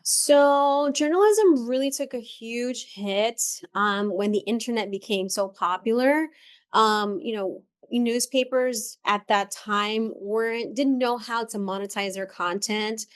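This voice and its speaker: A woman's voice: on the high side, slow, clean tone. A middle-aged woman from the Dominican Republic, a therapist guest telling her career story in a reflective way with many ums and restarts. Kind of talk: conversational interview.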